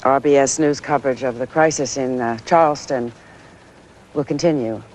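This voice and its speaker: A woman playing a controlled network news anchor, serious and deliberate, with occasional halting phrases. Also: a bass voice, darkish tone, a little hiss or hum, poor audio. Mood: serious, tense.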